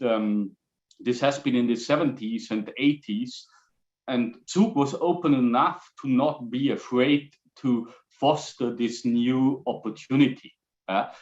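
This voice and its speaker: A middle-aged man from Austria, a company founder, answering interview questions in English, often pausing on fillers, with dry humor. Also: medium pitch, reverberant, highs cut off. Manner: halting, many fillers and restarts, dry humor.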